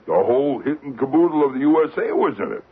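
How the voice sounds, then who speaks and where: An actor playing a sly, folksy middle-aged New crook with a drawling, streetwise way of talking, in an old-time radio drama. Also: male voice, medium pitch, very animated, quick pace, breathy edge. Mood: folksy, scheming. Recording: muffled, narrow-band vintage radio recording.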